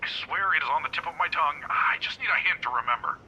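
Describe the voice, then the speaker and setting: An actor playing a friendly, forgetful older security guard who chats cheerfully and fumbles names in a voiced visual novel. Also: male voice, fairly high pitch, expressive, fast talker, pushed and bright, muffled recording, a little hiss or hum. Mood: jovial. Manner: chatty, jovial, fumbles names.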